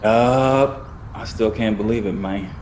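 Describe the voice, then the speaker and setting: A man's voice: on the low side, takes it slowly, darkish tone, a little hiss or hum. A man acting in an audio drama as a conflicted young man casually confiding his troubles to a friend. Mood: stressed. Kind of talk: naturalistic scripted audio drama.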